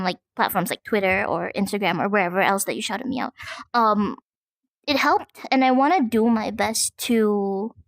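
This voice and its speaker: A woman from Malaysia talks in a casual interview style. Her voice sits fairly high, and the sound is dry, with very little room in it. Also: creaky, no echo. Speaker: guest, content creator and VTuber.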